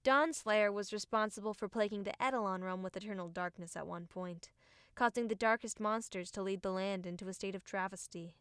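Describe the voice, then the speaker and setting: A woman's voice: mid-range voice, fairly quick, a bit bright, clear, resonant tone, no room sound, natural voice. Woman acting a serious young female fighter, dry recording. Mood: matter-of-fact. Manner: matter-of-fact, explanatory. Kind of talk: audiobook character acting.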